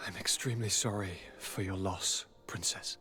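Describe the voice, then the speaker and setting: An actor playing a gracious, polished aristocratic governor in a video game, with ornate, polite manners. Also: male voice, deep voice, steady tone, normal speed, pushed and bright, breathy edge, full character voice. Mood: sympathetic. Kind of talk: video game cutscene acting. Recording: background music with brass.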